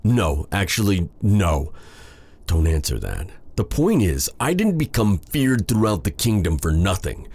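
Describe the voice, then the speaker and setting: A man playing a feared dark sorcerer with a tender side, in a courtly, romantic fantasy ASMR roleplay style. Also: sits low, big pitch swings, a little slow, husky, heavily acted. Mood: earnest, wry. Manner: courtly, formal phrasing with endearments. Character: feared dark sorcerer, tender and flustered toward his captive. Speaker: voice actor in audio roleplay.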